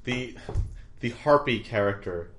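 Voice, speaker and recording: A man's voice: mid-range voice, animated, measured pace, a bit bright. A man in casual podcast chat, explaining trivia and game details.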